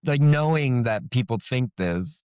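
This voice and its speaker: A man's voice: mid-range voice, lively intonation, a little slow, darkish tone, clear, resonant tone, no room sound, muffled recording. A man being interviewed as an author, explaining things in an informal, loosely phrased, conversational way.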